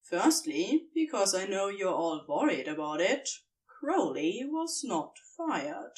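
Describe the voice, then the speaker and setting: Slow, very expressive woman narrator. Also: medium pitch, distant mic. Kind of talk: expressive scripted fan fiction narration.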